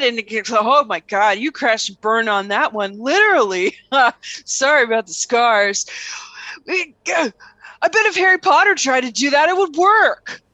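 Bright, high-voiced envious student played by a woman. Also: no echo, heavily acted. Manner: dry, exasperated, casual. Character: envious, disgruntled student who craves heroism. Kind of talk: improvised comedy sketch.

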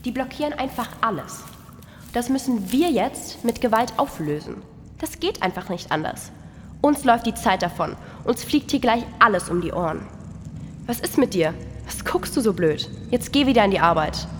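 A woman's voice: a little high, expressive, normal speed, lightly acted. Woman playing a brusque boss in cavernous reverb. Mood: alarmed, commanding. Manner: brusque, scornful, commanding. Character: bossy, cynical female worker microbe boss inside a cow, foul-mouthed. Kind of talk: scripted radio play acting. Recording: long hall reverb, faint noise.

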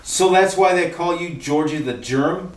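A man's voice: fairly high pitch, unhurried, distant mic, natural voice. A man playing a suave, wisecracking male detective in a retro radio drama, with a dry, breezy delivery. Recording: echoey room, off mic.

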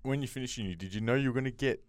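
A man interviewing a guest, upbeat, with quick interjections of approval. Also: medium pitch, clean tone, no echo. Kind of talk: casual interview conversation.

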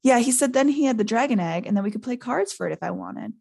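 Woman voicing a big simple-hearted gamekeeper man, creaky and rambling. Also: very high-pitched, animated, fast talker, a little mellow, pitched above the performer's own voice, exaggerated voice. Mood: boastful, rambling. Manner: rambling, blurting, informal. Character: big simple-hearted male gamekeeper, boastful then flustered.

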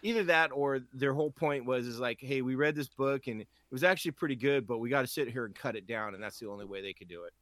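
A man's voice: mid-range voice, quick pace, hardly any pauses, no room sound. Profane, ranting podcast man. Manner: animated, profane, mocking voices in quotes. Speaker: podcast co-host. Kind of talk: casual ranting chat.

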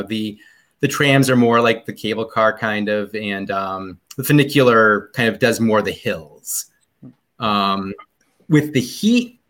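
A man's voice: mid-range voice, a bit bright. A man as a podcast guest in casual chat, rambling about a trip with many ums and restarts.

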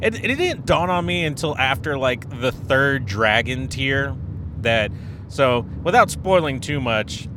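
Rambling podcast host, stuffy throat. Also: male voice, sing-song, measured pace. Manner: rambling, self-correcting, joking and laughing at himself. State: stuffy nose and throat after a cold. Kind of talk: casual solo podcast chat. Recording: noisy with background rumble.

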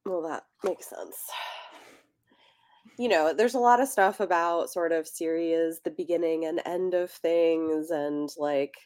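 Woman podcast cohost, kinda low voice, chatty book talk, thinks out loud and rambles a bit. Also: measured pace, clean tone. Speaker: podcast co-host. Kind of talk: casual book discussion.

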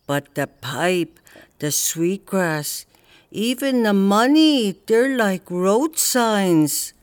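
An actor playing an older Northern Cree man, a patient elder who teaches in a slow, gentle way. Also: sits high, animated, clear, resonant tone, no echo, full character voice. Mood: reflective. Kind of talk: audio drama acting.

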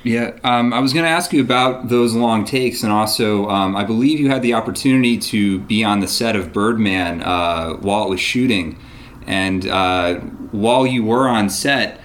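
A man with a fairly low voice hosts an interview, asking long and earnest questions. He winds his way through them with small fillers and fresh starts as he goes. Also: moderate pace, a bit bright, slight background noise. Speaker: interviewer host. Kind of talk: interview conversation.

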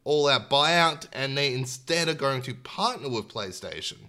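A man's voice: mid-range voice, lively intonation, measured pace, pushed and bright. A man with an Australian accent hosting a gaming podcast in a casual, informal, jokey way. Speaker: podcast host. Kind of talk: casual gaming podcast chat and news rundown.

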